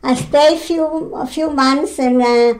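Slow, halting Greek-accented woman in her nineties. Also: high-pitched, fairly even intonation, low-quality recording. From Greece. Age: 90s. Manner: halting, many fillers and restarts, simple non-native grammar, laughs often. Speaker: interviewee, retired mill weaver. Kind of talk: oral history interview. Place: her home.

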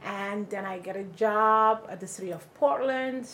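A middle-aged woman from Somalia recounts her life in an oral history interview, in a mid-range voice. She talks in an easy storytelling way and grows animated when she quotes others. Faint ambience sits in the background. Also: mid-range voice, moderate pace, a little hiss or hum. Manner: conversational storytelling, animated when quoting people.

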